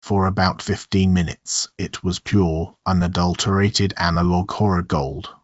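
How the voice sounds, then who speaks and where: Male horror story narrator, very low voice, muffled recording with roomy echo. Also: steady tone, a little slow.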